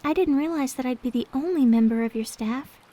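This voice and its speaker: A woman playing a gentle, devoted young maid companion in an ASMR roleplay, speaking in a soft, polite, tender way. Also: high-pitched, a little fast. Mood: wistful, tender. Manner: polite, tender, demure storytelling. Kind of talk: ASMR roleplay, soft intimate character acting.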